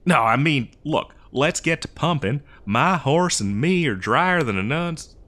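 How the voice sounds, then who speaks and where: A man's voice: on the high side, animated, measured pace, a bit bright. Guy doing a folksy old west drifter, exasperated n rambly, voice swings up and down, comedy audio roleplay. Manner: folksy, rambling, hesitant improvised delivery. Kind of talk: improvised comedic audio roleplay addressed to the listener.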